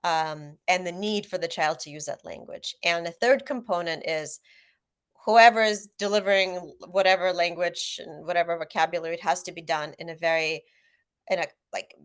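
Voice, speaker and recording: Lower-pitched woman, native Russian speaker. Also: moderate pace. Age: adult parent. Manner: explanatory and earnest, with many fillers and restarts. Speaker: guest author on a parenting panel. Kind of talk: casual panel discussion.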